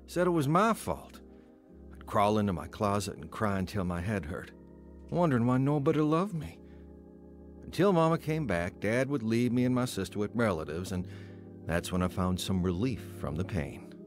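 A man's voice: lowish voice, lively intonation, normal speed, faint music behind, close to an everyday voice. An actor playing a troubled man who earnestly narrates his own unhappy youth in an audio drama. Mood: somber reflective. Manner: earnest, confessional.

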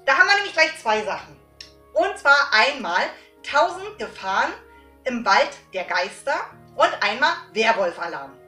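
High, bright-voiced woman. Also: moderate pace. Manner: animated, gesturing, frequent tag questions. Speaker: host of a book giveaway vlog. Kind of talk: chatty, informal presenting to viewers. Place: small indoor room lined with books. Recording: roomy echo, faint music.

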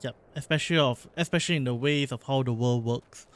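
A man from Singapore with a Singaporean English accent, a vlog host chatting casually and explaining in quick bursts that often stop and restart. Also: medium pitch. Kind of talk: casual chat while streaming.

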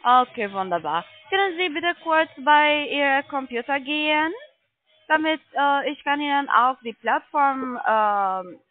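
Female phone sales agent, non-native speaker, higher voice, persuasive but halting, tinny telephone sound. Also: lively intonation, no room sound. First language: not German. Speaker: call centre sales agent. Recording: tinny narrow phone line.

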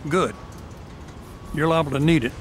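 A man's voice: fairly high pitch, moderate pace, a little hiss or hum, natural voice. An actor playing an apologetic, hospitable yet commanding male farm boss, polite and directing, in an audio drama.

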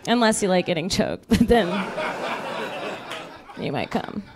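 A young woman doing stand-up on a club stage into a handheld mic, dropping dark punchlines in a chatty, deadpan way. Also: mid-range voice, unhurried, crowd noise, noisy background. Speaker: stand-up comedian. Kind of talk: stand-up comedy set. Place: comedy club stage before a live audience. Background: audience laughter.

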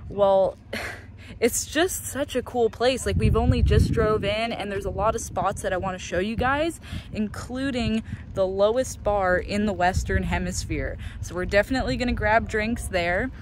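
A woman's voice: mid-range voice, normal speed. Young woman chatting to her phone outside, casual vlog style, noisy background. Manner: informal, chatty. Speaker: vlog host. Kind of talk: casual off-the-cuff exploring commentary. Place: outdoors in open dry lot and street. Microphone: handheld phone at arm's length. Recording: clear outdoor background noise.

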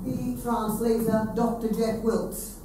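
Slow, solemn woman in an echoey hall. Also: on the high side, little pitch movement. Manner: solemn, measured phrases with pauses. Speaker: presenter. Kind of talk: solemn ceremonial introduction. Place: hall with seated audience, indoors. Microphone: distant camera. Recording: very echoey room, distant mic, slight noise.

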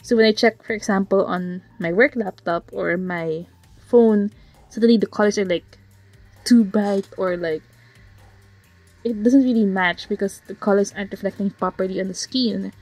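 Woman artist, bit hoarse, rambly speedpaint voiceover, dry close sound, faint music. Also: medium pitch, moderate pace, a little mellow, no room sound, poor audio. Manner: rambling, frequent fillers and self-corrections. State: slightly hoarse. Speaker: digital artist narrating a speedpaint. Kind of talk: casual voiceover commentary. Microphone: home voiceover mic.

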